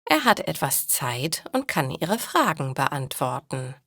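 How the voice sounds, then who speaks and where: Woman, slightly low voice, dry close sound, story narration for learners, deliberate short sentences. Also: very animated, gravelly, fairly bright tone, dry recording.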